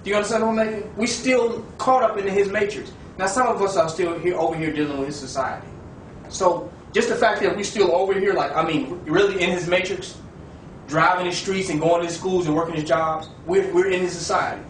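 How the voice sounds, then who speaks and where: A man with a high voice argues with preachy, rhetorical force. He keeps checking that the listener follows him. The recording is roomy and distant, with noise behind him. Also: fairly even intonation, fairly quick. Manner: preachy, rhetorical, asks "you understand?". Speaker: discussion participant. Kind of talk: impassioned debate. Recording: echoey room, far from the mic, with noise.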